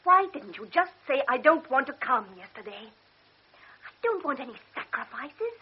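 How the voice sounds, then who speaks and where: A proud young invalid in a vintage radio play, her high, husky voice swinging widely as she turns intense and reproachful. The sound is dull and old-fashioned. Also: sing-song, heavily acted. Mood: reproachful, angry. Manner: intense, reproachful, quick to flare. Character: proud, sharp-tongued invalid young woman. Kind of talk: classic radio drama acting.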